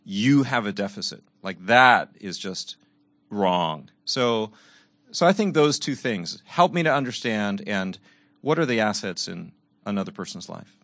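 A man's voice: fairly low pitch, sing-song, unhurried, fairly bright tone, no echo. A man answering as a panel guest, earnest and reflective, often repeating words as he works out his thought.

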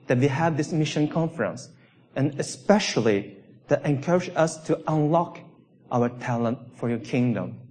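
Slow, halting Swedish-born preacher. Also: male voice, on the high side, a little mellow. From Sweden. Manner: slow, halting delivery with non-native grammar. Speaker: visiting pastor. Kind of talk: sermon. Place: church.

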